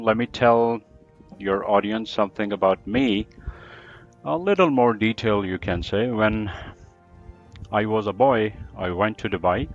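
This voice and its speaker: A man's voice: fairly low pitch, dull audio. A man with an American-trained accent, a teacher, answering interview questions in an emphatic, explanatory way, often repeating phrases. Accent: American-trained English. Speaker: book reviewer, English teacher.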